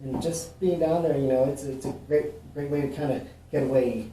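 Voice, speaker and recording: A man's voice: mid-range voice, fairly quick, background noise. A man from Mexico answering interview questions, talking easily with lots of fillers and restarts. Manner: relaxed, rambling with many fillers and restarts. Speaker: realtor. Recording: roomy, echoey, mic at a distance.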